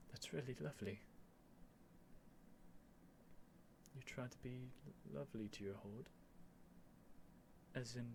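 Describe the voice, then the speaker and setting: A man playing an earnest, nervous young prince devoted to a dragon, in a halting, emotional ASMR roleplay addressed to the listener. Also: mid-range voice, a little hiss or hum. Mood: touched, adoring. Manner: halting, stammering, breathy sighs and whispers, emotional.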